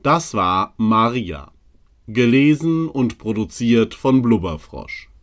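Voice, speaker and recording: A man announces the credits of an audio story. He speaks very slowly at an average male pitch, working from a script. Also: expressive.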